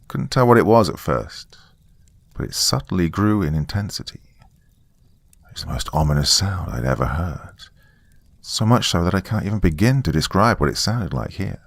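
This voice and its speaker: Deep-voiced man narrating horror, very dry. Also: no echo. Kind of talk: dramatic scripted first-person horror narration.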